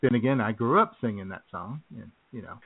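A man's voice: lowish voice, animated, a little fast, gravelly, slightly rough. A man hosting a live radio show in a casual, chatty style. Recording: muffled lo-fi internet radio.

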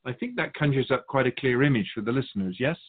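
A man playing a patient, lofty spirit guide who teaches in measured, formal phrases in a scripted audio drama. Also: medium pitch, expressive, narrow, tinny audio, natural voice. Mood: measured, explanatory. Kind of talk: scripted dialogue in a fiction podcast.

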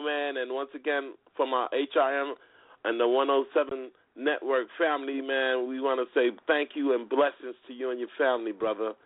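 A man's voice: medium pitch, dry recording. A man hosting a casual talk radio interview in a slangy, conversational way. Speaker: radio show host. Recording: phone-like internet radio with background music.

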